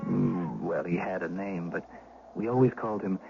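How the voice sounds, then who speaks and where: An actor playing an educated former shepherd, haunted, gravely and deliberately recounting his youth in a radio drama. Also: low-pitched, background noise. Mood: haunted, suspenseful. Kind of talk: 1970s radio drama narration. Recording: muffled vintage radio recording, music behind.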